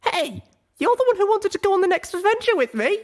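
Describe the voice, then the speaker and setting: A man voicing a curious young female pony adventurer, eager and quick to protest, in an audiobook story. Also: extremely high pitch, very animated, voice pushed up.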